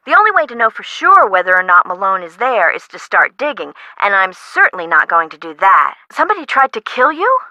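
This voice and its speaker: An actress playing an excitable, worried woman homeowner in a video game, American and chatty in an emphatic way. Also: medium pitch, a little fast, hardly any pauses, no room sound, low-quality recording. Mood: uneasy, then exasperated, alarmed. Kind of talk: scripted game dialogue.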